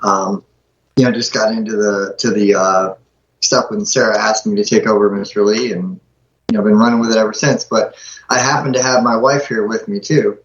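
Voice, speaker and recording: A man's voice: fairly low pitch, steady tone, moderate pace. A man chatting casually as a guest performer, informal and loosely rambling. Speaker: guest voice performer and show host. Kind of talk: casual chat. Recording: muffled, roomy call audio.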